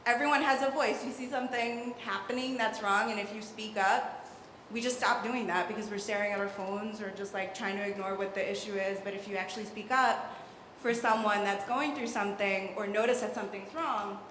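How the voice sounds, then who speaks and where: A woman's voice: medium pitch, fairly even intonation, brisk, few pauses, fairly bright tone. A young adult woman in the audience, rambling and hedging as she makes a long comment. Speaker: audience member. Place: large hall at a public event. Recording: echoey hall, faint room noise.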